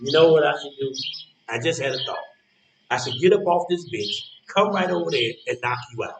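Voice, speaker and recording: A man acting out a bitter older fellow on a park bench in a stage play. His voice sits high for a man, and he lays out menace slowly and folksily. It sounds roomy and set back from the microphone. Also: animated, slight background noise, natural voice. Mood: threatening. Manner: slow deliberate threats, folksy colloquial phrasing. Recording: roomy, echoey, distant from microphone.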